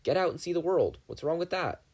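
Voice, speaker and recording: A man's voice: fairly high pitch, expressive, fairly quick, a little mellow, slightly rough, voice pushed up. A man voicing a blunt, exasperated young teenage girl in an audiobook reading. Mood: easygoing. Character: cheerful girl, a young teen, dismissive. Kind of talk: fan fiction audiobook reading.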